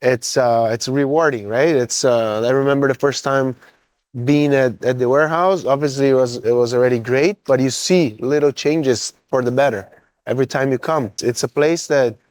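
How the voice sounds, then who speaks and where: A man's voice: mid-range voice, moderate pace, a little mellow, no echo. A man from Brazil with a Brazilian accent, a coffee importer answering interview questions earnestly and often checking in with "right?".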